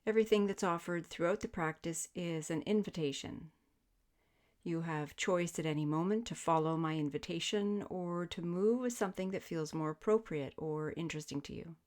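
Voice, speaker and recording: A woman guiding a sleep meditation as a yoga teacher, speaking in gentle, soothing, measured invitations. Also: lowish voice. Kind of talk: guided sleep meditation, spoken instruction.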